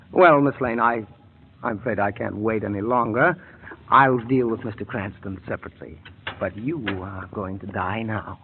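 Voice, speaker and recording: A man's voice: fairly low pitch, a little hiss or hum. An actor playing a cold, mocking male mad scientist who chuckles as he threatens, in 1940s radio drama style, on a tinny old broadcast. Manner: suave, chuckling menace.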